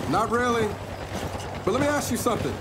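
A man's voice: very high-pitched, sing-song, a little fast, heavy background noise. An American actor playing an earnest, guarded young male soldier in a video game. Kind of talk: video game cutscene acting.

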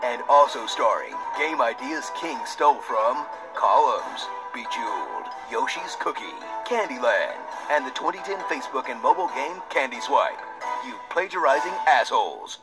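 A man's voice: medium pitch, big pitch swings, normal speed, rough recording. A man narrating a scripted parody game trailer in a mock-dramatic, sardonic announcer style, played back through a screen's speaker. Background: loud music.

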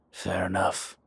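Man playing a husky, slow-talking dragon mob boss. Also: low voice, sharp tone, no room sound, full character voice. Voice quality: husky, breathy. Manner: slow, deliberate, intimate. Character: male dragon mob boss, commanding, teasing, protective. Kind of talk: intimate scripted roleplay audio drama.

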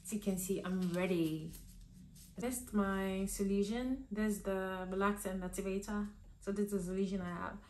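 A young woman with a slightly hoarse voice from a cold, chatting her way through a hair tutorial at home with lots of ums and restarts. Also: medium pitch, fairly even intonation, normal speed, slight background noise. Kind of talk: casual step-by-step tutorial. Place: living room at home, indoors. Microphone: camera in front of her at desk distance. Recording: echoey room with soft music behind.

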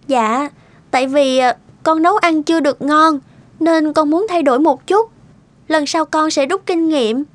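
A woman's voice: high-pitched, unhurried, no echo. A woman playing a meek, eager-to-please young newlywed in a cartoon, speaking politely and hesitantly. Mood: apologetic. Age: young adult. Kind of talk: cartoon dialogue. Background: background music.

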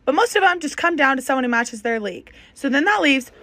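Young woman, high voice, dry close sound, chatty explainer. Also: lively intonation, quick pace, a bit bright, dry recording. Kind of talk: casual explainer.

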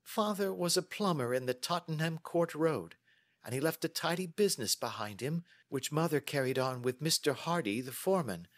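Man putting on a high, flustered young woman. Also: lively intonation, normal speed, dry recording, clean studio sound. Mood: chatty. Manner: flustered, rambling, earnest. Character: anxious, good-humored, chatty large young woman client. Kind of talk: audiobook character dialogue.

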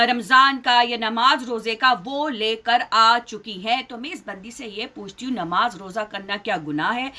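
A middle-aged woman vlogger delivers an indignant, scolding commentary straight to her webcam. Her voice sits high and sounds bright and sharp. She hammers her points home by repeating phrases. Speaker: reaction vlogger. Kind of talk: heated commentary to camera.

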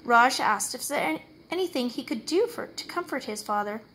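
A woman reading a picture book aloud as a storyteller, with expressive, measured, dramatic phrasing. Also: sits high, slight background noise. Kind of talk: expressive read-aloud narration.

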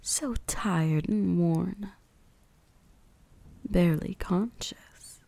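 A woman's voice: on the low side, extremely slow, warm tone, full character voice. A woman playing an ancient, predatory, seductive vampire huntress in an intimate ASMR roleplay, whispery, teasing and condescending. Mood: sated, hungry. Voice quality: breathy, whispery.

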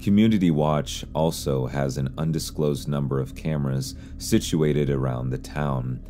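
A man reading scripted explainer narration in a measured, serious way, as a video narrator. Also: a bass voice, warm tone, faint music behind. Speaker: YouTube narrator.

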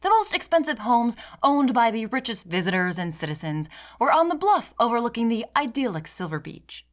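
A woman's voice: on the high side, very animated, normal speed. An American woman from Michigan narrating a scripted video essay in a sardonic manner. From Michigan, United States.

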